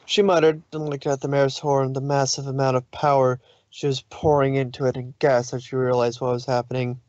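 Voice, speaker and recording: A man's voice: medium pitch, little pitch movement, brisk, a little mellow, no echo, highs cut off. A man narrating fan fiction in a dramatic storytelling way, sometimes stumbling over his words.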